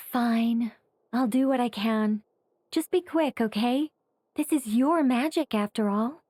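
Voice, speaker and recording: A woman voicing a cautious, wry female angel in a video game, speaking in a measured, matter-of-fact way. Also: a little high, darkish tone. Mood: reluctant. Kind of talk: animated game dialogue.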